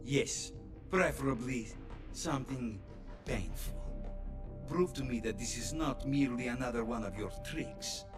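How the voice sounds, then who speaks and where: A man playing a stern, shrewd Soviet leader, formal, deliberate and menacingly polite, in a dramatic game cutscene. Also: medium pitch, lightly acted. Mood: stern, ultimatum. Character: stern, shrewd Soviet premier, imperious and exasperated. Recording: dramatic music behind.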